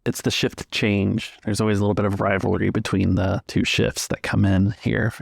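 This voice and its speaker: A man's voice: lowish voice, level tone, moderate pace, creaky, breathy edge, no echo. A man narrating a tabletop roleplaying game as its game master.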